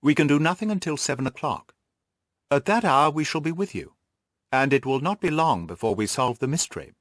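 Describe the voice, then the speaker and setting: A man acts out a shrewd male detective from an audiobook story. He is brisk and commanding, reasoning things out emphatically with very lively swings of pitch in a close, dry recording. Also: no echo. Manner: emphatic, deductive explaining and giving orders. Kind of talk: audiobook character dialogue.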